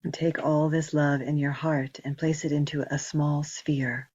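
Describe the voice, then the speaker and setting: Female meditation guide, soft husky breathiness, gentle spaced-out phrasing, dry but dull lo-fi sound. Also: deep voice, flat delivery, dry recording. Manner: slow, gentle instruction with long pauses. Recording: dull, muffled lo-fi recording.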